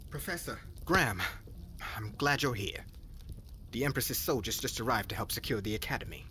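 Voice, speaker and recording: Man playing grave professor, hushed, formal, concerned, fantasy roleplay, clear background noise. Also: medium pitch, lively intonation, moderate pace. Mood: worried. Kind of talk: ASMR roleplay acting. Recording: clearly noisy ambient background.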